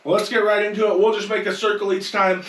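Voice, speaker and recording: A man's voice: high-pitched, level tone, quick pace, a bit bright, slight background noise. A man hosting a podcast and leading a casual group chat. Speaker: podcast host leading the discussion. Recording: echoey room, distant mic.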